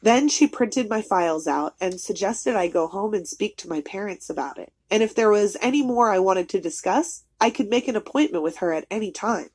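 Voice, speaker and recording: Cartoon story narrator: female, medium register with some rasp, delivering a scripted first-person account. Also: medium pitch, animated, brisk, few pauses, a little mellow.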